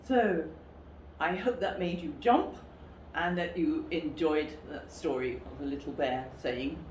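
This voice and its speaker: A woman reading a children's story expressively, with a dramatic, deliberate delivery. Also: sits low, darkish tone. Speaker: storybook reader for a school story time. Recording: roomy, distant mic, faint background noise.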